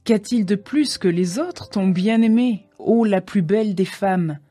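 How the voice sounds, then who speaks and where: A woman's voice: mid-range voice, measured pace, mellow, faint music behind, lightly acted. A woman playing a curious, questioning young woman of Jerusalem in a dramatized scripture reading. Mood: curious, challenging.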